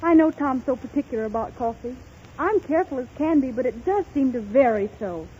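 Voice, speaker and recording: An actress playing a fretful, fluttery housewife in a 1930s radio advertising drama. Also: female voice, sits high, expressive, a little fast, mellow. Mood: anxious, polite. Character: fretful young housewife friend making coffee. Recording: tinny, noisy 1930s radio recording.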